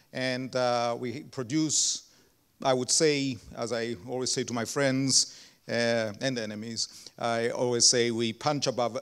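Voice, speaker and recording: Hesitant man with a smooth voice. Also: medium pitch. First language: not English (non-native speaker). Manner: many ums and uhs, self-deprecating humor. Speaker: research center director giving opening remarks. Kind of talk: hesitant opening speech at an anniversary event.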